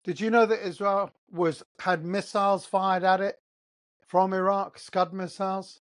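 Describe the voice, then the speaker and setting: A man's voice: high voice, little pitch movement, a little slow. A man with an English accent, hosting his own podcast as a solo monologue, talking emphatically and firing off rhetorical questions. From born in Qatar, raised in England. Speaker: podcast host.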